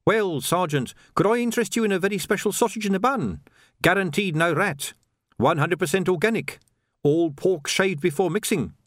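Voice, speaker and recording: A man's voice: sits high, normal speed, a bit bright, dry recording, clean studio sound, pitched above the performer's own voice. A man voicing an eager, shifty young pie seller with a nervous salesman's patter, in an audiobook story. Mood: hawking, eager. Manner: salesman's patter, flustered stammering.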